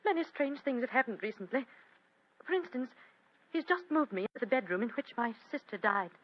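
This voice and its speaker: Frightened young English lady, high-voiced. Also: animated, brisk. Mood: fearful. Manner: earnest, breathlessly anxious, theatrical. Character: frightened, well-bred young English woman client. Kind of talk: vintage radio drama acting. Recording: dull, lo-fi radio recording.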